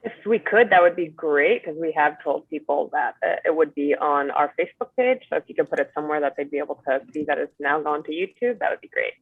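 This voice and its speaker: A woman organizing a webinar's technical setup in a brisk, organized way before it goes live. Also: low-pitched, expressive, husky, no room sound. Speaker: webinar producer. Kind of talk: casual logistics chat. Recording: tinny phone-like call audio.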